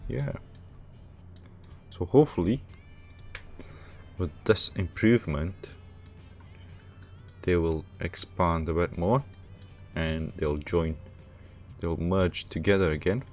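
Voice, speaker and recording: A man from Romania hosting a casual gaming livestream, thinking aloud in a halting, hesitant way. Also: low-pitched, darkish tone, faint music behind. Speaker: livestream host playing a game.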